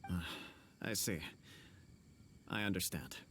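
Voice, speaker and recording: An actor playing a dignified, courteous shogunate official in a video game, with formal, polite delivery. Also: male voice, deep voice, takes it slowly, sharp tone, slight background noise, heavily acted.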